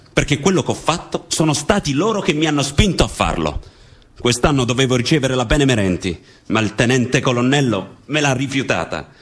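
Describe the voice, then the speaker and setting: A man's voice: mid-range voice, natural voice. An actor playing an earnest young guardsman, solemnly reading out a farewell letter in a stage drama.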